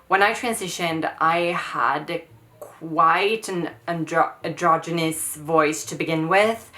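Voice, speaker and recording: A woman in her thirties with a Swedish accent answers viewer questions in a relaxed vlog. Her voice sits low but has a bright, forward edge. She talks hesitantly, restarting and trailing off, with plenty of fillers. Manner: hesitant, trailing off, many likes and ums. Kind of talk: casual Q&A vlog.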